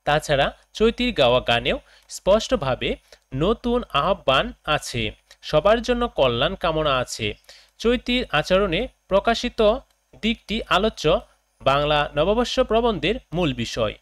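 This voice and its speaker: A man, a teacher reading out lesson questions and answers for students in a deliberate, phrase-by-phrase way. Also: a little high, big pitch swings, a bit bright, no room sound.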